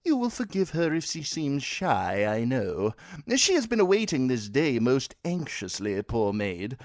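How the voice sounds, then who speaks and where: A man voicing a smooth, courteous young nobleman who speaks in a formal, overly polite way. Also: mid-range voice, huge pitch swings, measured pace, no room sound, pitched above the performer's own voice. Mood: courtly.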